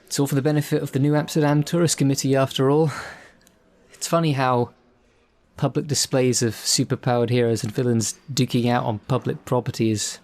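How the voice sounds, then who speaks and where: A man's voice: mid-range voice, a little mellow, close to an everyday voice. A man playing a chatty, self-deprecating supervillain for hire in an audio roleplay, rambling politely and hesitantly. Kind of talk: audio roleplay, conversational in-character monologue.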